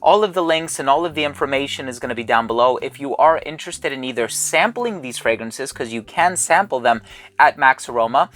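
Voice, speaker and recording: A man's voice: medium pitch, lively intonation, a little fast, a bit bright. Chatty man reviewing perfumes. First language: English. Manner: chatty, thinks aloud while smelling. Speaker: fragrance reviewer. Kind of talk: casual sponsored haul video.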